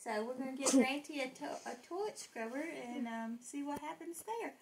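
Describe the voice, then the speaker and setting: A woman's voice: high-pitched, normal speed, a loud crowd. A woman in her thirties, a mother chatting casually and reading a picture book aloud expressively to children in a living room. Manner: expressive, with whispered sound words. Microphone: handheld phone. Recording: home phone video with background noise.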